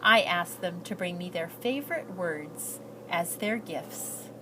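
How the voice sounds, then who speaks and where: A woman's voice: fairly low pitch, animated, slow, background noise. A woman voicing an earnest, shy, hopeful immigrant girl reading out her letters, with heartfelt, lilting storybook delivery.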